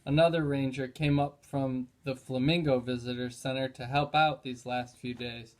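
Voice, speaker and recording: A man's voice: medium pitch, fairly even intonation, moderate pace. A man narrating a children's chapter book in a read-aloud style.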